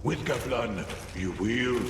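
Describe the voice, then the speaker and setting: Gravelly, halting, greedy trader. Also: male voice, medium pitch, echoey room, long reverb tail, recorded from a distance, background noise. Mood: greedy, bargaining. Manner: broken, clipped speech in third person. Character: gruff, eager male trader, greedy for souls. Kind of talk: fantasy video game voice acting.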